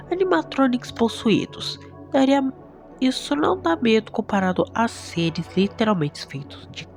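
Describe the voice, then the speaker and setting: Video essay host, Brazilian accent. Unhurried, dramatic and emphatic, with wide melodic swings and music underneath. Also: mid-range voice, a little mellow. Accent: Brazilian Portuguese. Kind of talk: enthusiastic video commentary with scripted narration.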